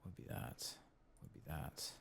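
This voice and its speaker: A man giving soft-spoken, sometimes whispered ASMR commentary on a game, murmuring to himself and reading text aloud. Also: measured pace, slight background noise. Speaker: ASMR gaming channel host.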